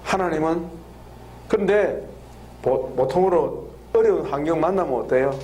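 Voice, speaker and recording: A man preaching a lively sermon, laughing often and drawing call-and-response answers from the congregation with frequent questions. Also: fairly high pitch, a little slow, rough recording. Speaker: pastor. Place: church hall with congregation.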